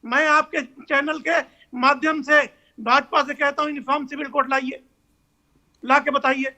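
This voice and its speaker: A man's voice: very high voice, level tone, normal speed, bright, forceful tone. An Indian man, a cleric on a heated televised debate panel, speaking in a combative, challenging way.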